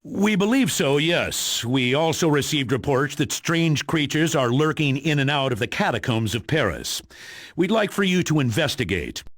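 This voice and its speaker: Authoritative spy commander, lively and dry-miked. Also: male voice, fairly high pitch, moderate pace, no room sound. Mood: businesslike. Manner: authoritative, matter-of-fact. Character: stern, businesslike male spy commander briefing a superhero. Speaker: mission commander. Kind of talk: video game mission briefing.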